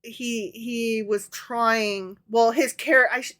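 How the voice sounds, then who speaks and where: A woman co-hosting a podcast in relaxed conversation, often stopping to correct and restart herself. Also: on the high side, takes it slowly. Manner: chatty, self-correcting with frequent restarts. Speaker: podcast co-host. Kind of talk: casual chat.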